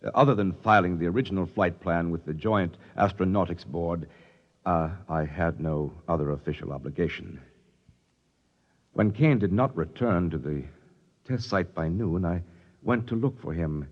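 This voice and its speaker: An actor playing a flustered, stuffy company official in a 1950s radio drama, formal and officious, with a stammering manner. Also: male voice, low-pitched, normal speed. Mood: formal, uneasy. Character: flustered, stuffy male corporate official defending himself. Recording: dull, reverberant 1950s radio recording.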